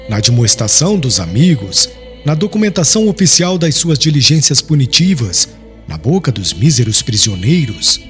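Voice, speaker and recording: A man with a Brazilian accent reading a dramatic audiobook narration in a solemn, literary way. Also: on the high side. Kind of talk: scripted dramatic storytelling narration.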